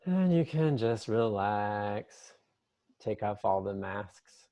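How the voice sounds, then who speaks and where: A man leading an improvised, conversational guided meditation in a gentle, unhurried manner with long pauses. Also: on the low side, expressive, lo-fi sound.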